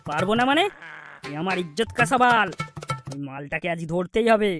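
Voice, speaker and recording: Cartoon villager , male performer: very high for a man, anxious, boastful, music underneath. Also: lively intonation, normal speed. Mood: determined. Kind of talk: cartoon dialogue.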